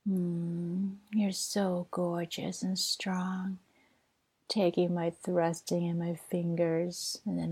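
A woman performing an intimate audio roleplay, speaking softly and tenderly, often in a whisper. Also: on the low side, vocal fry, husky. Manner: soft, soothing, often whispered; tender, doting.

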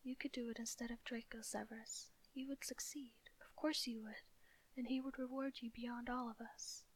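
A woman's voice: fairly high pitch, steady tone, moderate pace, close to an everyday voice, poor audio. A woman reading in a whisper as a desperate, tearful mother pleading for her son.

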